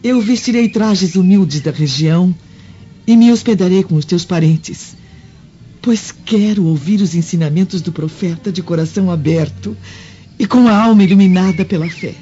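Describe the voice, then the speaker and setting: Woman acting a mellow, earnest Roman noblewoman. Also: lowish voice, normal speed, lightly acted. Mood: sorrowful, determined. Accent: Brazilian. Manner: earnest, formal, melodramatic. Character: noble Roman senator's wife, anguished, pious and resolute. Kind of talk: dubbed radio drama acting. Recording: dull lo-fi audio with music underneath.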